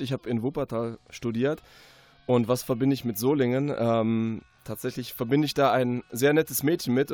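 A man speaking as an author in a radio interview, conversational and reflective, often pausing as he forms his sentences. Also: mid-range voice, a little mellow, dry recording.

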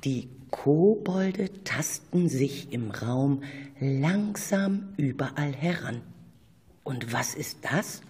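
A narrator telling a children's radio play, addressing listeners conversationally in a husky voice. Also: medium pitch, expressive, very slow, a little mellow, a little hiss or hum.

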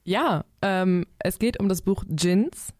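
A woman's voice: mid-range voice, slow, a little mellow, no echo. Woman, early twenties, playful podcast moderator, dry booth sound. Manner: playful, guides the talk. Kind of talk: casual moderating.

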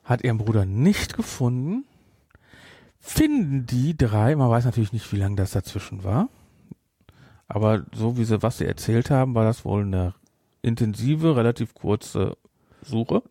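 Male podcast host, conversational and halting, medium pitch, very dry recording. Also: animated, mellow, no room sound. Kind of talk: casual chat reviewing a film.

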